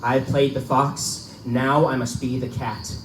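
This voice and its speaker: A man's voice: mid-range voice, little pitch movement, unhurried, fairly bright tone, close to an everyday voice. A man playing an uneasy but defiant hunter who has become the prey, in a live theatrical horror drama. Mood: tense resolve. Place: live performance in a bookstore. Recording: distant, echoey live room recording with noise.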